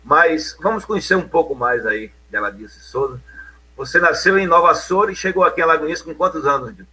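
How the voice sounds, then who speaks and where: Bright, husky male host. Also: fairly high pitch, expressive, brisk, low-quality recording. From Bahia, Brazil. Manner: conversational, leading questions with frequent "né". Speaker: interview host. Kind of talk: interview questions.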